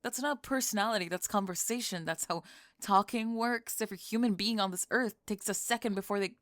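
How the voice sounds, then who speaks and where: A woman performs a young goth college woman in an intimate ASMR audio drama. She is defensive and sharp-tongued, hiding a crush behind clipped, halting, emphatic phrases. Her voice sits at a medium pitch in a very dry, close recording. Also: no echo, close to an everyday voice. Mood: defensive, flustered. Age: young adult.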